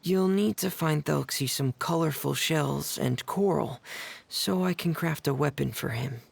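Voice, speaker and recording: A man playing a shy, earnest, soft-spoken teenage boy mechanic in a video game, reserved and polite. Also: a little high, unhurried, gravelly, husky, heavily acted. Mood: calm, helpful.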